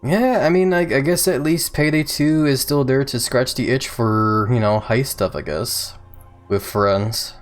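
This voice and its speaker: A man streaming a video game, chatting casually with his viewers as he plays, with chuckling asides and a slightly rough throat. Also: mid-range voice, unhurried. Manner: chatty, reads and answers chat while playing. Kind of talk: casual let's-play commentary. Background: faint game music.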